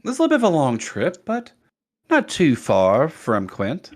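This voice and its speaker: A man voicing a poised, wary noblewoman with formal, measured phrasing in a tabletop game. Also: medium pitch, huge pitch swings. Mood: poised, courteous. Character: poised, wary visiting noblewoman, shocked and probing.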